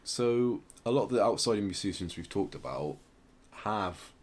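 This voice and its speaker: A man's voice: lowish voice, animated, normal speed, a little mellow. A man hosting a podcast, casually explaining music in a hesitant, rambling way. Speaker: podcast host.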